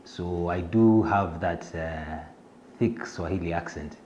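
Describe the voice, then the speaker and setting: Deep-voiced Kenyan man, halting and modest. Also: darkish tone, muffled recording, slight background noise. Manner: hesitant, many fillers and restarts, chuckles at himself. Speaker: fintech executive. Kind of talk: self-introduction in an interview.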